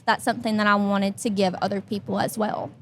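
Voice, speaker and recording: A woman's voice: medium pitch, level tone, fairly quick, fairly bright tone, a little hiss or hum. A young adult woman, a dentist guest on a podcast, speaking candidly and bubbly with lots of "like". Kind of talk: casual podcast interview.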